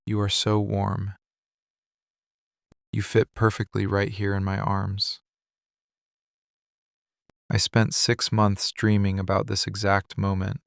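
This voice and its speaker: A man playing a possessive, tender mafia boss in a boyfriend ASMR roleplay, speaking soothingly and deliberately in short, hushed phrases. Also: on the low side, creaky, dry recording, clean studio sound. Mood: soothing, protective. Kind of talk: boyfriend ASMR roleplay, slow intimate monologue.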